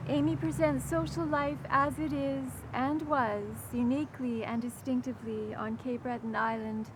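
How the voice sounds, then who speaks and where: An older woman presenter giving a prepared, formal introduction with measured, deliberate phrasing. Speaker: event presenter introducing an author. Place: outdoor garden by a brick wall. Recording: rumbly outdoor background noise.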